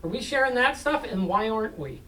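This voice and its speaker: A man's voice: high voice, lively intonation, brisk, fairly bright tone, distant mic. A man presenting live to an audience in a joking, self-mocking, chatty way.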